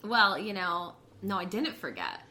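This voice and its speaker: A woman's voice: mid-range voice, huge pitch swings, a little slow, sharp tone, a little hiss or hum. A young woman co-hosting a podcast in casual chat, with a bubbly, light and upbeat way of talking. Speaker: podcast co-host.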